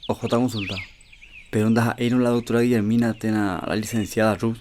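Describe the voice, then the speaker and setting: A man's voice: fairly low pitch, little pitch movement, fast talker, darkish tone, a little hiss or hum, lightly acted. Man as skeptical Paraguayan footballer, chatty radio-drama style, faint outdoor sound. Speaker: Roberto, a football team player. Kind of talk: educational radio drama acting.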